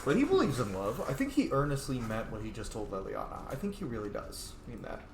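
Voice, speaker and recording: A man giving casual let's-play commentary, excitable, swearing freely and yelling during fights. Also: mid-range voice, a little fast, background noise. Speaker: gamer playing a roleplay series. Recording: echoey room with game music behind. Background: game music and combat sounds.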